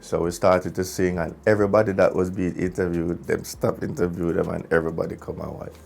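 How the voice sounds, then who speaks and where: A Jamaican man, a musician, telling a story casually in an animated way, with patois phrasing. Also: low voice, fast talker, gravelly. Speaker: musician, singer in a group.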